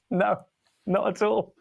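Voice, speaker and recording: A man's voice: high voice, extremely slow, creaky, a crowd in the background. A man from Spain hosting a livestream in a casual, chatty, informal way. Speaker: livestream host, filmmaker.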